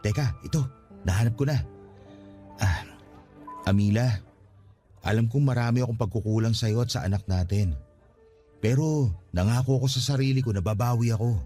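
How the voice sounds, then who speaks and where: A man acts as an earnest young father in a radio drama. He sounds anxious and conversational, often asking questions as he pleads with his partner. Soft music plays behind him. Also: medium pitch, normal speed, long pauses, natural voice. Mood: earnest, pleading. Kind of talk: Tagalog radio drama acting. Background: music bed.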